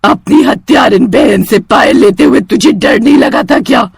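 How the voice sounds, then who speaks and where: A woman voicing a vengeful, taunting witch ghost with a threatening, forceful delivery in a horror cartoon. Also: on the high side, expressive, normal speed, vocal fry, breathy edge, no echo, clean studio sound, exaggerated voice. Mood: menacing, taunting. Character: menacing vengeful female witch ghost guarding her anklet. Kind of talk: horror cartoon villain acting.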